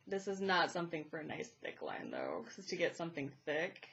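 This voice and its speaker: A woman who is congested and a little raspy, chatting casually about art supplies while she draws at home. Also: sits low, huge pitch swings, fairly quick, fairly bright tone, lo-fi sound. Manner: chatty, thinking aloud while drawing. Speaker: art vlogger. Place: home, indoors at a table. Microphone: camera overhead pointed at the paper. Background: furnace and cat noises.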